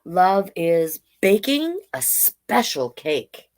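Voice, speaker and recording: A woman hosting a children's storytime online, reading deliberately and adding casual, chatty asides. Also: lowish voice, big pitch swings. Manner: slow, deliberate read-aloud delivery with chatty asides. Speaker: drag king storytime host.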